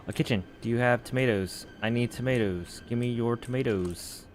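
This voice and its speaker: A man's voice: mid-range voice, normal speed, slight background noise. A man giving casual, joking commentary while playing a video game, often muttering to himself. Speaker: gameplay streamer.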